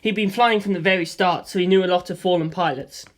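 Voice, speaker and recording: A woman narrating a first-person story in a steady, matter-of-fact way. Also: fairly low pitch, quick pace.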